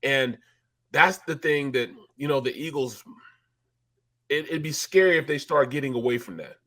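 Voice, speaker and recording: A man's voice: medium pitch, moderate pace, sharp tone. A man in his late forties talking casually about football as a co-host, with many fillers and repeats. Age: 40s. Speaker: sports talk co-host, former player. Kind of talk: casual sports talk.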